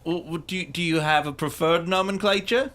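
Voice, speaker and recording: A man's voice: a little high, fairly quick, a bit bright. A man chatting casually as a tabletop game player, hesitantly doubling up his words. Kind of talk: casual improvised game chat.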